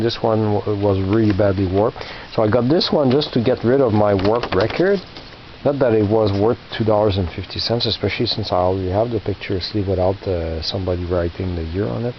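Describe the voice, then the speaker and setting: A man in his fifties with a slightly low voice talks through his flea market finds in a halting, rambling way, full of fillers and restarts. The recording sounds a little muffled, with a faint hiss underneath. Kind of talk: casual rambling show-and-tell.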